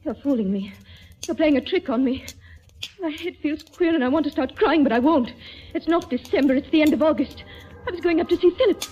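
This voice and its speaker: A woman's voice: high voice, expressive, a little fast, warm tone, highs cut off, slight background noise. An actress playing a bewildered, frightened young condemned woman, pleading in an old-time radio drama. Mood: bewildered, near tears. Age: young adult. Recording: old radio recording with music.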